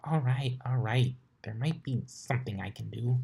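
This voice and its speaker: A man's voice: mid-range voice, normal speed, vocal fry, dark tone, husky, voice pushed up. A man voicing a bookish, cautious young female unicorn in a storybook reading, explaining things hesitantly. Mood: cautious, earnest.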